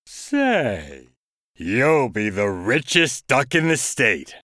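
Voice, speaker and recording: A man voicing a sly, scheming male cat in a storybook reading, coaxing and persuading in a slow, deliberate way. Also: mid-range voice, big pitch swings, bright, forceful tone, no echo, studio quality, heavily acted.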